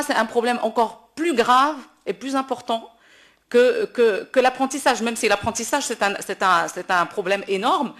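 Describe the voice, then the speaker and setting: Emphatic Moroccan woman speaking off the cuff. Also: a little high. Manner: emphatic, repeats words while searching for phrasing. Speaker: classical opera singer speaking at a panel. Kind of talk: impromptu public speech at a debate.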